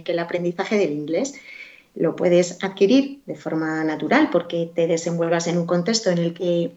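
A woman with a lowish voice answers interview questions at length. She hesitates, fills gaps with little sounds and circles back to finish her thoughts. The recording sounds dull and lo-fi. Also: warm tone. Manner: hesitant, many fillers and restarts. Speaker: guest. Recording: dull lo-fi audio.

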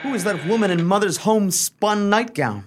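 Man acting high-voiced, overwrought prodigal son. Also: expressive, normal speed, a little hiss or hum. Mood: puzzled. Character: prodigal grown son, earnest, overwrought, remorseful millionaire. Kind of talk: hammy comic radio melodrama acting.

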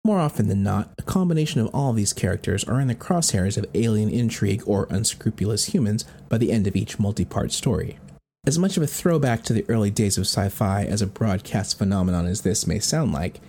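Mellow, low-voiced American man narrating. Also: animated, brisk. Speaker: podcast host. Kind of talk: scripted narration.

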